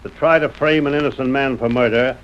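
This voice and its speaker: An actor playing a blunt, shrewd frontier sheriff with a folksy Old West American accent in a 1930s radio western. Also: male voice, medium pitch, steady tone, brisk, close to an everyday voice. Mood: assertive, triumphant. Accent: American Old West rustic. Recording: muffled 1930s radio broadcast.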